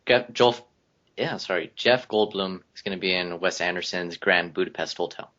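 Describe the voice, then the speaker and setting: A man presenting news on a casual group podcast, a little haltingly. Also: deep voice, bright, forceful tone. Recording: dull lo-fi online audio.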